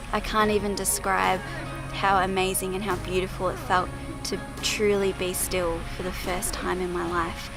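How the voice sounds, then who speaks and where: A woman speaks in a calm, measured, even tone, with plenty of vocal fry. Her pitch barely moves, and music plays behind her. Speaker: ceremony participant. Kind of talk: personal testimony.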